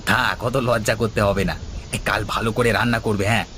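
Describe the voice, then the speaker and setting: Casting: male actor as amiable village trader for a cartoon, ordinary male pitch, slight background noise. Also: steady tone, fairly quick, sharp tone. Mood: easygoing, fond. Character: village men, friendly married trader and his mates. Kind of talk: cartoon dialogue dubbing.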